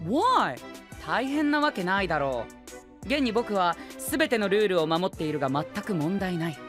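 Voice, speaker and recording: A prim young gentleman knight in an anime voice drama, his voice high and very animated. He is self-assured and rigid, lecturing formally with English exclamations thrown in. Faint music runs underneath. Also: normal speed. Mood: insistent, self-assured. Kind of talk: anime-style voice drama acting.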